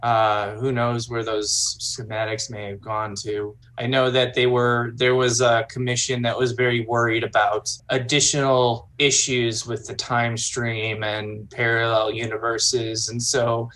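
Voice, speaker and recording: A man's voice: mid-range voice, level tone, unhurried, few pauses, fairly bright tone, poor audio. A man talking casually, partly in character, as a player in a tabletop roleplaying game.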